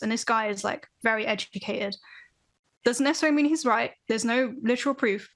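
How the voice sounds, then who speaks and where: A young woman speaks on a video call from home, her voice a touch high. She talks thoughtfully and with animation, circling back over her words as she works out her ideas. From Britain. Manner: thoughtful, animated, many restarts. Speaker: podcast guest, band vocalist. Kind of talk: casual interview chat. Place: home room with bookshelves. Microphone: video call, webcam.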